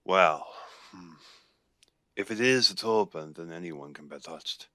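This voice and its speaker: A man acts out a guarded Irish werewolf alpha for an audio drama. His voice sits deep in the register and is recorded dry. He chooses his words deliberately and gravely, like a seasoned pack leader. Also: pushed and bright, dry recording. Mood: wary, questioning. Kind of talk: audio roleplay acting.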